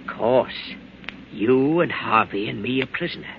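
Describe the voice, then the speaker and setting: An actor playing a paranoid, unstable hard-drinking husband in old-time radio drama, agitated and accusing. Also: male voice, medium pitch, expressive, normal speed, gravelly. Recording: noisy, muffled old radio recording.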